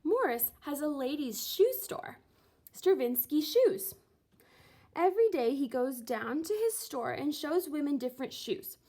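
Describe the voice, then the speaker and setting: A woman reading a children's book aloud expressively, with theatrical, deliberate phrasing and drawn-out words. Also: high-pitched, expressive, dark tone. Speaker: reader of a children's picture book, teacher. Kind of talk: expressive storybook read-aloud.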